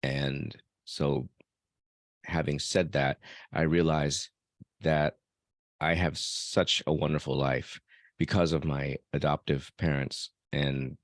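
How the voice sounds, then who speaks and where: A man's voice: a bass voice, little pitch movement, slow pace, dry recording, studio quality. A man from El Salvador, a podcast host being interviewed, answering in a reflective way with some fillers. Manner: reflective, thinks aloud with fillers. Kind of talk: casual interview conversation.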